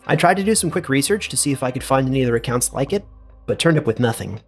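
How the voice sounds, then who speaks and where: Bookish inventor-researcher, medium pitch, musing and precise, dictated fantasy log with soft music behind. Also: male voice, expressive, quick pace, husky. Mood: curious, musing. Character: scholarly, methodical inventor-researcher, musing, curious. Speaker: researcher and narrator. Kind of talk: in-character audio log.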